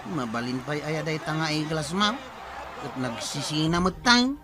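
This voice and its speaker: A woman's voice: low voice, animated, a little slow. A woman playing a cheeky, nagging young relative in a comic radio drama. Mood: teasing.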